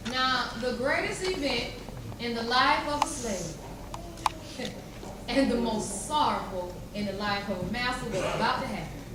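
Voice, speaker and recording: A freed slave woman, played by a woman on stage, tells her story deliberately and dramatically in a high voice. She is heard from far off in a cavernous, noisy room. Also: close to an everyday voice. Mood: solemn recounting. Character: formerly enslaved woman Mary recounting emancipation. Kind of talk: dramatic readers theater storytelling. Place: large echoey chapel during a live performance. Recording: cavernous echo, distant mic, noisy.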